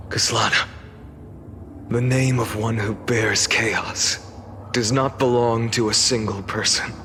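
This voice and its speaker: An actor playing a weary, defiant young hero in a game cutscene, speaking solemnly and deliberately with scornful resolve. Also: male voice, lowish voice, bright, forceful tone, slight background noise. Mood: solemn farewell. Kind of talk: dramatic game cutscene acting.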